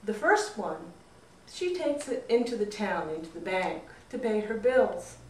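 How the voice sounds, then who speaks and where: The recording is echoey and slightly distant. A woman narrates a folk tale at a slow pace, with expressive, dramatic phrasing and a medium-pitched voice. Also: slight background noise. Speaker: storyteller. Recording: roomy echo, distant mic.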